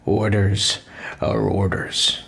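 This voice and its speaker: A man's voice: lowish voice, monotone, takes it slowly, vocal fry, fairly bright tone, slight background noise, exaggerated voice. A man playing a confrontational, sarcastic, by-the-book officer in a World War II game cutscene. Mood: bitter, resigned. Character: angry, by-the-book male WWII military officer. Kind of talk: dramatic game cutscene dialogue.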